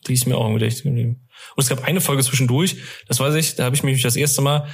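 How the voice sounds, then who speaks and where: A man's voice: mid-range voice, fairly even intonation, fast, gravelly, husky. A man co-hosting a casual fan podcast, telling stories in a chatty, rambling, slangy way. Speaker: podcast co-host.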